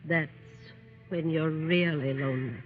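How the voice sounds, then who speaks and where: An actress playing a gentle, consoling older mother in an old radio drama, with a soft, measured delivery. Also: low voice, fairly even intonation, phone-quality sound, faint music behind. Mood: tender consoling. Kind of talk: 1930s-40s radio drama acting. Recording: thin, noisy vintage radio recording.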